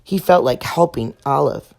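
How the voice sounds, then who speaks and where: A woman's voice: low voice, moderate pace, creaky, darkish tone. A woman storyteller reading a children's picture book aloud.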